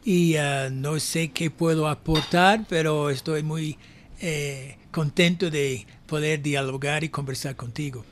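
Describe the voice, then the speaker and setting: An older man from the United States with an American accent, a native English speaker, giving reflective, halting answers as an academic guest. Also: fairly high pitch, fairly even intonation, a little hiss or hum. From United States (New Jersey). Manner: hesitant, reflective, frequent pauses. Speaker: academic guest, university professor. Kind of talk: conversational interview answers.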